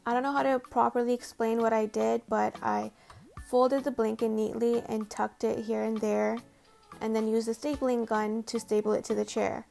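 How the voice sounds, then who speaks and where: A woman's voice: a little high, flat delivery, moderate pace, gravelly, a little mellow. A woman whose first language is Tamil gives a casual, chatty, self-deprecating voice-over for a home makeover video. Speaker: DIY home makeover vlogger. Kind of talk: scripted voice-over narration. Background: faint background music.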